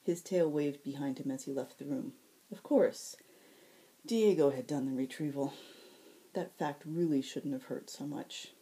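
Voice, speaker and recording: A woman, an author reading her fiction aloud in an expressive narrating style. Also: low-pitched, unhurried.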